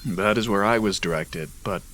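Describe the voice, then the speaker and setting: A man playing a solemn, resolute lord warden in a fantasy audio drama, speaking in a grave, measured way. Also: lowish voice, fairly bright tone, lightly acted, rough recording.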